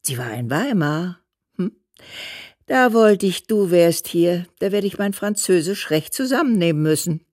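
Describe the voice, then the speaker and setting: Low, slow-spoken old woman. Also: animated, a little mellow, dry recording, clean studio sound. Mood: wry, chatty. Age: older. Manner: unhurried, homely, familiar. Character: homely, affectionate old mother, plain-spoken and wry. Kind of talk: German radio play, letter reading.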